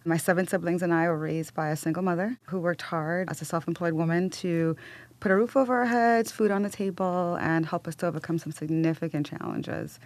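A woman's voice: on the low side, no echo. A woman from Trinidad and Tobago, a research fellow, introducing herself in a reflective, earnest way on a podcast. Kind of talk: self-introduction in a podcast segment.

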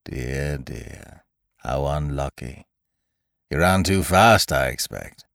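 A man's voice: a bass voice, lively intonation, takes it slowly, gravelly, a bit bright, dry recording, clean studio sound, pitched below the performer's own voice, full character voice. A man voicing a gloomy old donkey in a storybook, mournful, murmuring and resigned. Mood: glum, wistful.

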